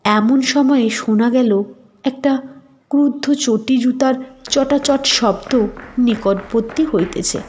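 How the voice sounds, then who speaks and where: Very slow-paced woman storyteller. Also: on the high side, darkish tone. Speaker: story narrator. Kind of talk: scripted literary narration.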